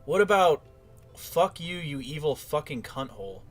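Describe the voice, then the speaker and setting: A man's voice: fairly high pitch, very animated, normal speed, faint music behind. A man giving casual, mocking commentary in a sarcastic and profane manner. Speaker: commentator reacting to a played recording.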